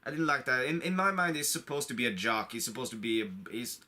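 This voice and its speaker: Bright-voiced man getting over a cold. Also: medium pitch, lively intonation, quick pace. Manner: enthusiastic fan, rambling with stumbles and repeats. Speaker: online video creator reviewing a film. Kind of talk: casual, unscripted movie review to camera.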